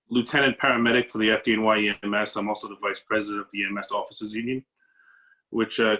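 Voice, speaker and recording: Forceful-voiced man speaking earnestly. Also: on the low side, poor audio. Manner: earnest, reflective, with some halting restarts. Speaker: paramedic lieutenant, panel guest. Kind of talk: earnest panel discussion. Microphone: video call.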